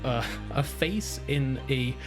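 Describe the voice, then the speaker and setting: A man's voice: medium pitch, takes it slowly. A man improvising narration of his character in a tabletop game, casual, rambling and repetitive. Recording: music and guitar behind voice.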